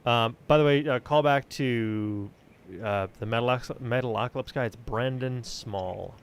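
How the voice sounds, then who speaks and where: A man's voice: mid-range voice, measured pace, smooth. A man hosting a casual, conversational podcast chat.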